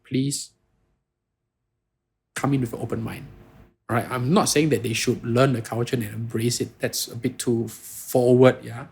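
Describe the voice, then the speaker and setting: Gravelly, husky Singaporean-accented man. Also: mid-range voice, fairly even intonation, unhurried, long pauses, a little mellow. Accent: Singaporean English. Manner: earnest, repeats "you know" and restarts phrases. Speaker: guest lawyer. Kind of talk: conversational interview answers.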